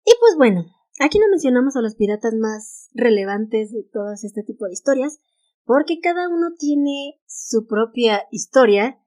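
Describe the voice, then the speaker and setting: A woman's voice: on the high side, lively intonation, moderate pace, darkish tone. A woman with a Mexican Spanish accent co-hosting a casual history podcast, reading notes aloud and laughing easily. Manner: reads notes aloud, stumbles on English titles, laughs easily. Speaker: podcast co-host.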